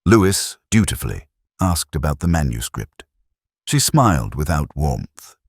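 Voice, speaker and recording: A man giving a measured, deliberate first-person reading of a literary detective story, as its narrator. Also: rumbling low, sing-song, breathy edge, no room sound, studio quality.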